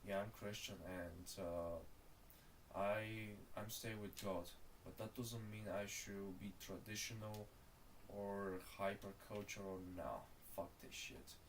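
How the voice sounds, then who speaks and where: Bulgarian guy streaming, lowish voice, rambles and swears a lot, bit far from the mic, faint hiss. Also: fairly even intonation, takes it slowly. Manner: rambling, profane, calls the listener bro. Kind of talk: casual rant while gaming.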